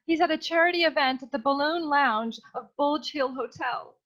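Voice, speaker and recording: A melodramatic young damsel in distress, played by a woman for a spoof noir radio serial. The voice sits fairly high and speaks with sweet, earnest theatricality. The recording is a little dull and lo-fi. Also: moderate pace, fairly bright tone. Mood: grateful, swooning. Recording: slightly dull, lo-fi microphone.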